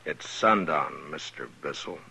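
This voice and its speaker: Very deep-voiced stern western marshal. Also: male voice, unhurried, creaky, sharp tone, husky, full character voice, low-quality recording. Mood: quietly stern. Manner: terse, understated, authoritative. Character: calm, weary, stern frontier lawman in western. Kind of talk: 1950s radio western acting and first-person narration. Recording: old radio drama recording with music.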